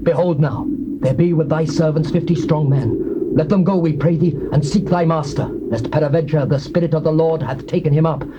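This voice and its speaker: An actor with a British accent playing an urgent, deferential disciple in a scripture drama, speaking formal archaic English. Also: male voice, on the high side, fairly even intonation, fairly quick, close to an everyday voice. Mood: pleading. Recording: dull, noisy old cassette tape.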